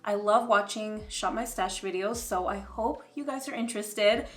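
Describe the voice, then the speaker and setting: Hoarse woman chatting through a makeup vlog. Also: mid-range voice, moderate pace. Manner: chatty, relaxed, picking products aloud. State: hoarse from a cold. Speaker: beauty vlogger.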